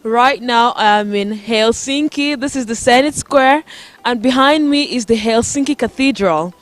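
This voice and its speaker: A woman's voice: fairly high pitch, a little slow. A woman hosting a vlog, speaking upbeat and straight to her viewers from an outdoor city square in winter. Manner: upbeat, addresses viewers directly. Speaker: host. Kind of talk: vlog intro and street interviews.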